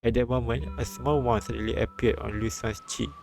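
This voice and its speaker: A man reading a visual novel aloud on a live stream, with halting restarts and bits of commentary. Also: mid-range voice, steady tone, creaky, a little mellow. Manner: halting, repeats and restarts lines. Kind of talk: live read-aloud translation and narration with commentary. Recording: background music behind the voice.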